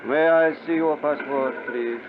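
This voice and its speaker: A stern male official speaks in stiff, courteous formalities , played by a radio actor. It is vintage radio drama with a narrow, telephone-like band and clear noise. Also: fairly high pitch, a little slow, close to an everyday voice. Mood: formal, stern. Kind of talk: 1950s radio drama acting. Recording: thin, phone-like, noisy old radio recording.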